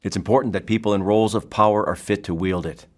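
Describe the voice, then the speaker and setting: An actor playing a calm, probing bureau therapist in an audio drama, speaking in a formal, deliberate way. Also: male voice, on the low side, natural voice. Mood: firm, measured.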